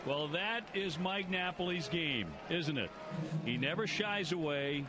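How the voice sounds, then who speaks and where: Fairly high male commentator over clear noise. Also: measured pace. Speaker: baseball radio color commentator. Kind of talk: conversational live game analysis. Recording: noisy ballpark radio broadcast.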